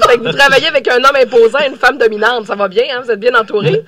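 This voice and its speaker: A woman's voice: fairly high pitch, steady tone, very fast, crowd noise. A woman with a Quebec French accent hosting a casual radio discussion in a teasing, challenging way. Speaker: radio host.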